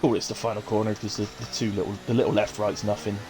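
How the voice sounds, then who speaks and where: A man's voice: on the low side, little pitch movement. Chesty man calling sim laps. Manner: brisk, chatty play-by-play with coughs. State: chesty cough. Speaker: race commentator. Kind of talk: live sim racing commentary. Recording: noisy stream mic with car sounds.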